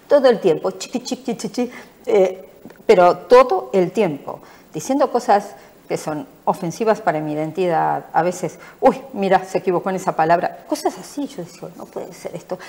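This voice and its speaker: Lowish Argentine woman, emphatic. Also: moderate pace, a little mellow. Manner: emphatic and repetitive. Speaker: interviewed politician. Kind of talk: studio interview.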